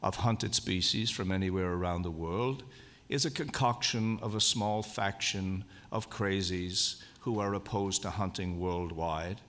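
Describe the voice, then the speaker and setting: A man with a very low voice delivers a formal lecture to a convention audience. He speaks in a measured, deliberate way and leans on key words for emphasis. His voice rings with the long reverb of a large hall. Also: fairly bright tone.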